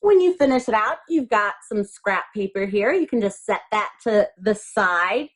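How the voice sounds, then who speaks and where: Animated woman teaching young children. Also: medium pitch, moderate pace. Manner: encouraging, simple words, repeats instructions. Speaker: elementary school teacher. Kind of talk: step-by-step craft instruction for young children.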